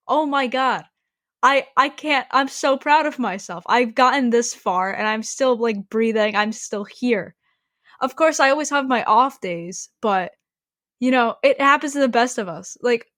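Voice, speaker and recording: A woman's voice: on the high side, a bit bright, dry recording, studio quality. A teenage woman co-hosting a podcast, talking earnestly and reflectively in a casual chat, with many fillers. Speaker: podcast co-host. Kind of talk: casual chat among friends.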